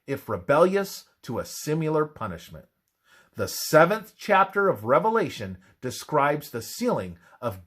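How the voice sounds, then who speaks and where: A man reading scripted religious narration in a solemn, measured, emphatic manner. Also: on the high side.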